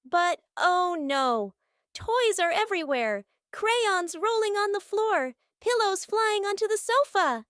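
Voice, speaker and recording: A woman reading a scripted children's story as narrator, with expressive storybook delivery. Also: extremely high pitch, slow, no echo.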